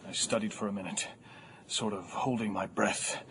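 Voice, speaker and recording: An actor playing a bitter, sarcastic male high school English teacher, pompous and literary in his scorn. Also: steady tone, moderate pace, creaky, a bit bright, a little hiss or hum. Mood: earnest, declaiming. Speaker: actor in audio drama.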